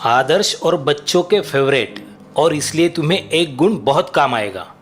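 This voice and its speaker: A man voicing a wise, kindly senior schoolteacher in a cartoon, giving measured, encouraging advice. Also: a little high, expressive, faint music behind. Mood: warm, advising. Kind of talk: cartoon voice acting.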